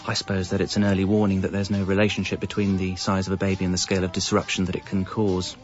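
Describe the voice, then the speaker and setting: An actor playing a wry husband and young father, narrating a comic radio monologue in a deadpan, confessional way. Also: sits low, fast talker, soft background music, natural voice. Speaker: narrator and lead character. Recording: muffled, band-limited audio.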